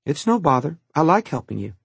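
A man doing a shy gentle young boy. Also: fairly high pitch, very animated, a little fast, vocal fry, husky, no echo, pitched above the performer's own voice, exaggerated voice. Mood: timid. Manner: timid, polite.

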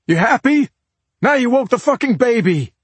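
Man playing crude husband, crime audiobook, hot-tempered, blunt, slangy, raised pitch, dry sound. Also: lively intonation, fairly quick, breathy edge, no room sound. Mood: angry.

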